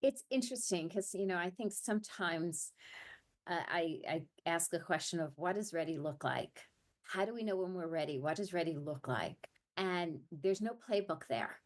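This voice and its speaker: A woman's voice: fairly low pitch, moderate pace. Reflective woman podcast host. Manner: reflective, thinks aloud with fillers "you know" and "like". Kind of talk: conversational interview.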